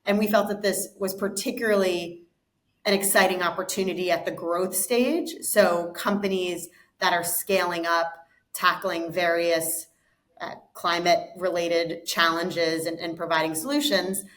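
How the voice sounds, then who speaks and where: American woman, lowish voice, calm explainer, repeats words a lot. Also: a little slow. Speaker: guest, fund co-founder. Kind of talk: interview answers.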